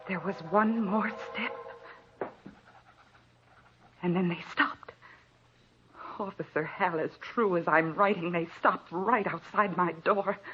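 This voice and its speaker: An actress playing a frightened, anxious wife writing in her notebook, with tremulous, halting delivery in a 1940s radio thriller style. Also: fairly low pitch. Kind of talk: 1940s radio thriller acting, narrated diary. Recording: muffled, noisy old radio broadcast recording.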